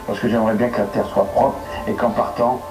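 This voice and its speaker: 70s french guy chatting about his animals, lively, some music playing behind. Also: mid-range voice, a little fast, reverberant, distant mic, poor audio. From France. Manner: animated, playful teasing with animals. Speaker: wildlife association founder and speaker. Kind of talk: casual spoken vlog talk.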